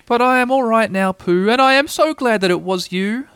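A man voicing a small, timid piglet in a high, squeaky, nervous voice for a storybook reading. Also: normal speed, a bit bright, smooth, no room sound. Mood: plaintive, relieved. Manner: nervous, trembling, stammering.

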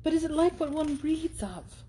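A woman's voice: high-pitched, animated, normal speed, mellow, slight background noise, pitched above the performer's own voice. A woman reading aloud and voicing an eager, hesitant young heroine who asks earnest, anxious questions.

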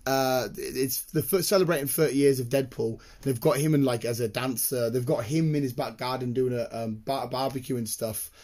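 Excitable, slightly croaky British man. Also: medium pitch, brisk, hardly any pauses. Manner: excitable, rambling, sweary. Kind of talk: casual chat, rambling anecdotes.

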